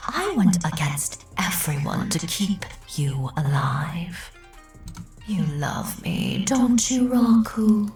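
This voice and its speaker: A woman voicing a cruel, possessive spider demon mother in a visual novel story, with slow, menacing delivery that slips into breathy half-whispers. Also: mid-range voice, a little mellow, voice pushed down. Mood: possessive coaxing. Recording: echoey, distant, music underneath.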